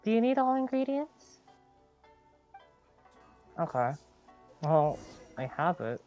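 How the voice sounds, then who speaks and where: Low-pitched woman live streamer, unhurried. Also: very animated, dull audio. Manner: thinking aloud, hesitant and self-correcting. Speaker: game livestreamer, host. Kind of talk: casual livestream gameplay chat. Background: video game music.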